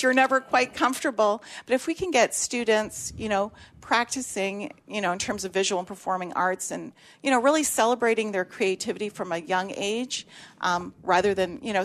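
A woman's voice: mid-range voice, a bit bright. A woman school principal, whose first language is Greek, answering questions earnestly in a radio interview, with many fillers.

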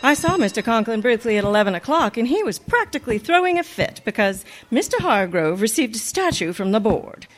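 A woman playing a wry, wisecracking high school teacher with sardonic wit in an old-time radio comedy. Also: very animated, brisk, hardly any pauses, rough recording. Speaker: actor in a radio play.

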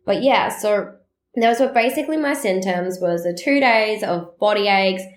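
A woman's voice: mid-range voice, reverberant. A woman hosting a casual solo podcast, talking in a chatty, rambling way while her voice is nasally and congested. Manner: chatty, rambling and animated, with quick asides. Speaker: podcast host.

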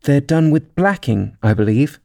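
A man's voice: medium pitch, expressive, a little slow, vocal fry, darkish tone, voice pushed up. A man voicing a polite, curious and timid young girl in a storybook, with a wondering manner. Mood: puzzled, curious.

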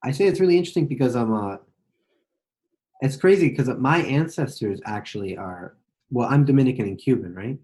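American guy asking questions, mellow warm tone, says like a lot. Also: medium pitch, expressive, brisk. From United States. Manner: loose, full of "like" and restarts. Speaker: interviewer. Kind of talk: casual conversational interview.

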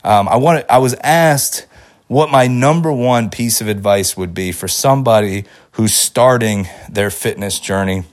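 A man's voice: on the low side, a little slow. A man hosting a podcast, talking casually and giving upbeat motivational advice, his voice a little off from a lingering cold. He rambles with fillers and restarts. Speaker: podcast host. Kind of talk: casual solo podcast talk.

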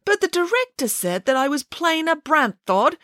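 A woman's voice: high-pitched, very animated, normal speed, a bit bright, no echo, clean studio sound, voice pushed up. A woman doing a weepy, melodramatic young bear. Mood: dramatic. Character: emotional, theatrical young male bear actor.

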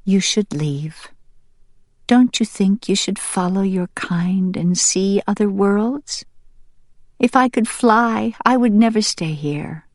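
A woman voicing a gentle, weary, tender mother bird in a soft, half-whispered audiobook voice. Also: medium pitch, takes it slowly, no room sound, studio quality, lightly acted. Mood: gently urging. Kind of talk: audiobook character voice.

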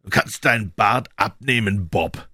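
A man voicing a gloating, brutish young villain who speaks in a mocking, slow way. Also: lowish voice, sharp tone, slightly rough, no echo, clean studio sound, exaggerated voice.

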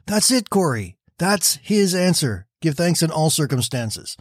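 A man reading aloud and voicing a devout, gentle woman prisoner who speaks calmly and insistently. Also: fairly high pitch, measured pace, no room sound, studio quality, voice pushed up. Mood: hopeful.

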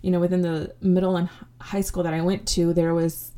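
A woman's voice: lowish voice, level tone, brisk, dark tone. A young woman, a podcast host whose first language is Spanish, talking through her childhood in a reflective way, with lots of ums and restarts. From United States. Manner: reflective, many fillers and restarts. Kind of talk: casual personal storytelling.

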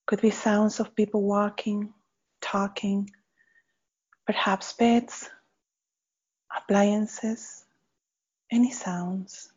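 A woman guides a meditation very slowly and gently, pausing between short phrases. Her voice is mellow and slightly husky. The recording is a little dull. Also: medium pitch, flat delivery. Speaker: meditation guide, faculty member. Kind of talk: guided body scan meditation. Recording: slightly dull, muffled audio.

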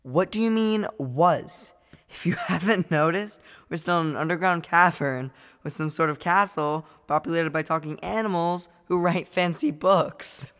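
A woman's voice: lowish voice, unhurried, a bit bright, in a large hall. A woman voicing a cautious, thoughtful young boy who speaks hesitantly with a wry edge.

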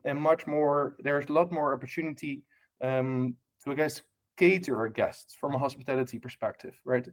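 A man from the Netherlands with a Dutch accent, speaking as a podcast guest about hotel technology, full of fillers and restarts and ending points with "right?". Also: on the high side. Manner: many fillers and restarts, tag questions. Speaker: guest, hospitality tech lead. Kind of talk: casual podcast interview.

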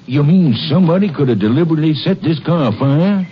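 An actor playing a polite but doubting mill boss, with an earnest, expressive American delivery in 1950s radio western style. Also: male voice, on the high side, fast talker, natural voice. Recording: dull, noisy old radio recording.

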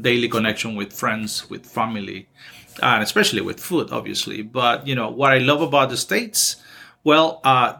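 A man's voice: medium pitch, pushed and bright. A man in his forties from Honduras, speaking English with a Honduran Spanish accent, talks as a music professor in a casual interview, hesitant with restarts but upbeat. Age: 40s.

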